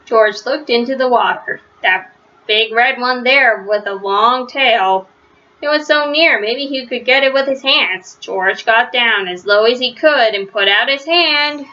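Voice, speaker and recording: Female storybook reader for children: fairly high, bright, expressive delivery, slightly muffled lo-fi sound. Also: a little slow. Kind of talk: read-aloud children's story narration. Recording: dull, lo-fi sound.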